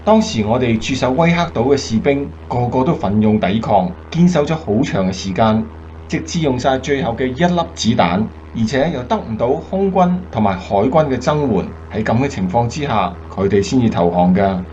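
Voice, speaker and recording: Actor as steady bomber captain, muffled audio. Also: male voice, medium pitch, moderate pace, slight background noise, close to an everyday voice. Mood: grave, commanding. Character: steady, earnest male American WWII bomber pilot captain. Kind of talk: Cantonese radio drama acting.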